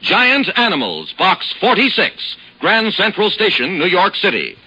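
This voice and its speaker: A man reading a hard-selling, brisk old radio advertisement. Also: high voice, huge pitch swings, lo-fi sound, heavy background noise. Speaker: radio ad announcer.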